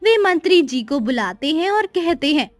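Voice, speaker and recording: A woman's voice: high voice, very animated, normal speed, no echo. A woman narrator reading a scripted children's moral story.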